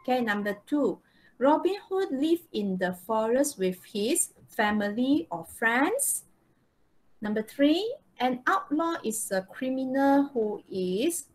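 A woman with a Malaysian accent teaching a live online English lesson, explaining step by step and checking understanding. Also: on the high side. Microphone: video call microphone. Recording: noisy online video call.

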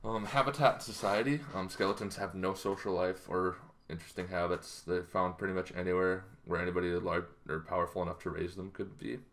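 Low-voiced man explaining casually. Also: fairly even intonation, fairly bright tone. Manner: halting, loosely improvised delivery. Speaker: tabletop roleplaying game vlogger. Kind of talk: casual explanatory talk to camera. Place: small bedroom, indoors.

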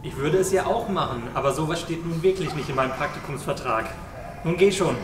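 A man's voice: fairly high pitch, fairly quick, natural voice. A man playing a matter-of-fact, dryly bossy alien tracker in an amateur sci-fi comedy audio drama. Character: self-appointed tracker leading friends after an alien. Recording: echoey, distant sound with noisy ambience.

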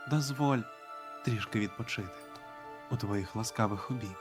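Guy doing a low soft young wanderer, tired and gentle, slow poetic game lines, music faintly behind. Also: natural voice. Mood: tender.

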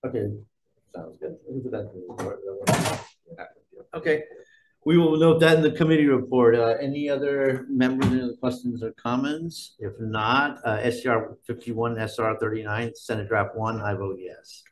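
The voice of a man chairing a government committee, talking at an ordinary pitch over a faint hiss. He walks members through each measure in a loose, conversational way, pausing on fillers. He speaks into a desk microphone in a hearing room. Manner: conversational, thinks aloud with fillers. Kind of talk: formal hearing proceedings.

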